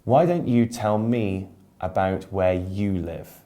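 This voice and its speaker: Young man from England, low and dark, slow measured delivery. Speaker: English teacher. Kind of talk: scripted lesson monologue.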